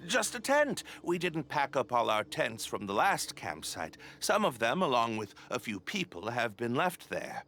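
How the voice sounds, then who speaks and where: Bright, animated, shifty expedition boss. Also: male voice, mid-range voice, a little slow, hardly any pauses. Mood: evasive, nervous. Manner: nervous, evasive. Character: shifty adult male expedition boss, irritable, falsely polite. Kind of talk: video game voice acting.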